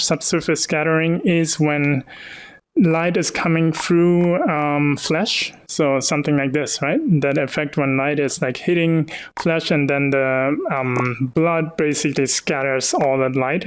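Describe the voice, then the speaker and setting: A man's voice: on the high side, smooth. Easygoing man with a light North German accent. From Northern Germany, Hamburg. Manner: easygoing, laughs often, explains patiently. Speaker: artist hosting a live stream. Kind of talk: casual chat while animating.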